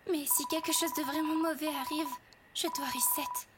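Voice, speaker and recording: A woman playing an earnest, tender-hearted child who worries and pleads, in an animated fan dub. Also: high voice, moderate pace, lightly acted. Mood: anxious. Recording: loud music behind.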